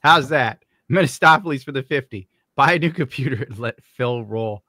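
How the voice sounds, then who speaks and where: A man hosts a casual livestream with a bright, punchy voice. He is blunt and mocking, swears freely, and sounds close and dry on the mic. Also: mid-range voice, moderate pace, dry recording. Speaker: livestream host. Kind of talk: casual livestream banter, reading donations.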